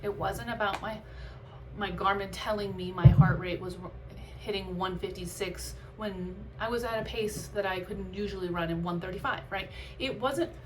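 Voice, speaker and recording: Lady vlogger just talking candidly, medium pitch, thinking out loud, pretty noisy background. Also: moderate pace. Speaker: vlogger and amateur runner. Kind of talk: casual, candid vlog chat.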